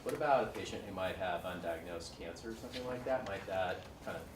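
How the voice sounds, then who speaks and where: A man with a fairly low voice asks a careful, measured question from the floor. He sounds far from the microphone. The lecture room is echoey, and there is noise behind him. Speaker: audience member asking a question. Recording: distant, echoey and noisy, picked up from the audience.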